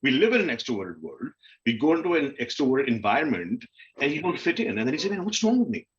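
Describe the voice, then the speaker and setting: A man's voice: medium pitch, big pitch swings, quick pace, highs cut off. A man speaking candidly and informally as a panel guest, often voicing his inner dialogue in a conversational way. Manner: informal, self-questioning, acts out inner dialogue. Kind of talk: candid conversational panel talk about personal experience.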